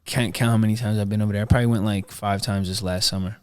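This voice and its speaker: Fast-talking laid-back young man. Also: fairly low pitch, steady tone, clear, resonant tone. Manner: laid-back, switches easily into Spanish. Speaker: guest musician. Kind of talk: casual podcast chat.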